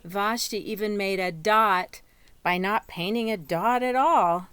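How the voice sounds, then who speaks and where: Slow-paced woman reading a children's story. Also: mid-range voice, fairly bright tone, clean tone, no echo. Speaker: storybook reader. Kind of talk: read-aloud children's story narration.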